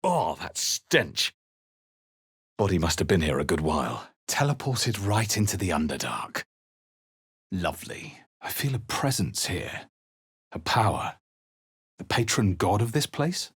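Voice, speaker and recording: Husky, wry fantasy adventurer. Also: male voice, low-pitched, very animated, measured pace, long pauses, a bit bright, heavily acted. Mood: wry, grumbling. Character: male player-character adventurer, wry, observant, spell-casting fantasy hero. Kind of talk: video game character barks and spell incantations.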